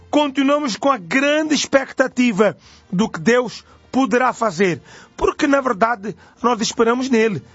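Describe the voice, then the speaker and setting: Brazilian male religious radio host, very high voice, gravelly creak, dry dull audio. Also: no room sound. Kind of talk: live religious radio presenting.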